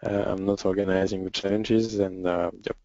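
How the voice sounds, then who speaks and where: Low-voiced French-accented man, hesitant presenter. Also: little pitch movement, dark tone, no echo. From France. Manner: hesitant, halting, with frequent pauses and throat clearing. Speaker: software developer and webinar presenter. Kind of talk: informal webinar question-and-answer session. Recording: dull lo-fi webinar audio.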